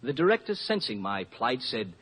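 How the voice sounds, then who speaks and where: A man's voice: medium pitch, very animated, moderate pace, a bit bright, slightly rough. An actor playing a bashful, clumsy young amateur actor who tells his story in a hesitant, mock-formal way for a 1950s radio commercial. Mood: sheepish. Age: young adult. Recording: muffled old radio recording.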